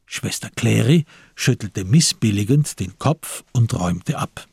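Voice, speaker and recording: A man giving a deliberate, solemn scripted literary narration for a radio drama. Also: lowish voice, expressive, creaky, a little mellow, slightly rough, no echo.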